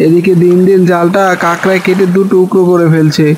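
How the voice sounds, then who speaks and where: Man voicing a high-voiced poor village fisherman. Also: flat delivery, brisk, darkish tone, slight background noise, close to an everyday voice. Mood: plaintive. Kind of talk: animated folk tale dialogue.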